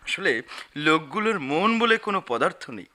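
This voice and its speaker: A man's voice: fairly high pitch, very animated, normal speed, pushed and bright. A man in a radio play acting a vain, aggrieved poet, theatrical and easily outraged.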